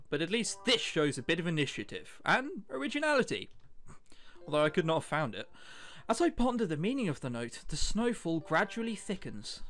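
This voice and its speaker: A let's-player of unclear sex giving casual commentary and reading a visual novel's text aloud, with wry asides. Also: medium pitch. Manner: self-interrupting, wry joking asides. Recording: music behind the voice. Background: game music.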